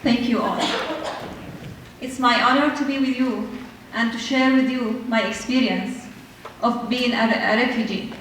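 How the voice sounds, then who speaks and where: Slow, earnest woman from Syria in an echoey hall. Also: a little high, recorded from a distance, a little hiss or hum. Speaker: speaker sharing refugee story. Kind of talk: prepared speech at an event.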